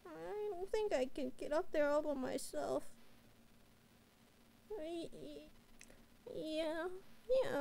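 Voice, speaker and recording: A woman's voice: extremely high pitch, creaky, noisy background, full character voice. A woman playing a timid, clingy young catgirl who stammers and whimpers as she pleads with her master in a bedtime roleplay audio. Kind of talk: roleplay audio drama, bedtime sleep aid. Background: rain and thunder ambience.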